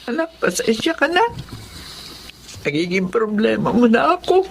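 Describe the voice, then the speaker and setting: An actress playing a sickly, gentle, apologetic aging Filipino mother in a melodrama. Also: on the high side, very animated, normal speed, creaky, slight background noise. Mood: grateful, apologetic. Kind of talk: Filipino radio-style melodrama acting.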